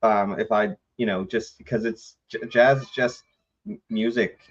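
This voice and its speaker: A man's voice: steady tone, measured pace. A man co-hosting a comedy podcast, joking and teasing in a goofy, self-mocking way. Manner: goofy, self-mocking, jumps to high squeals. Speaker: podcast co-host. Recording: dull lo-fi podcast audio.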